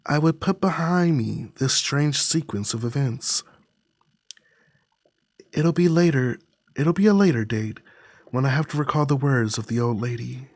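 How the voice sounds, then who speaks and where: A man reading a visual novel aloud as a streamer, with dramatic, deliberate phrasing. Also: mid-range voice, lively intonation, no echo. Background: music.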